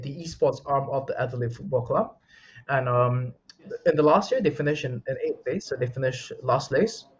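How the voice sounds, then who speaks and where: Male esports coach, interview guest; articulate, explains at length, restarts phrases; mid pitch, slightly muffled audio. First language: English. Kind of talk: interview answers.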